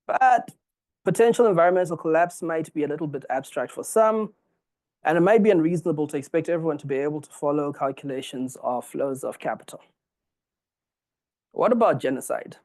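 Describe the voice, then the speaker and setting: A man from Zimbabwe in his forties giving a formal public lecture, reading from a text in a measured, earnest way. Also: fairly high pitch, expressive, darkish tone.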